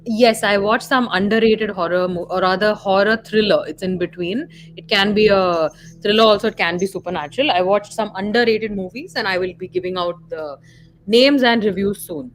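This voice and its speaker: A woman's voice: mid-range voice, moderate pace, faint music behind. A woman with an Indian accent chatting casually as co-host of a live podcast. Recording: noisy live-stream audio.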